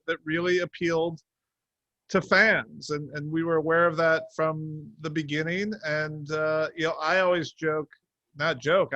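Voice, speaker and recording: A man's voice: a little high, bright, forceful tone, no echo. A man answering interview questions casually as a show's co-creator, rambling and hesitant, with self-deprecating asides. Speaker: TV series co-creator, interview guest.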